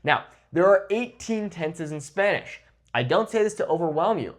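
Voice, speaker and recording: Animated, higher-pitched man teaching. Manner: emphatic, didactic, checks in often with "okay?". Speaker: Spanish teacher. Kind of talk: instructional lesson to camera, switching between English and Spanish examples. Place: indoors, in front of a whiteboard. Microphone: lapel mic clipped to the shirt.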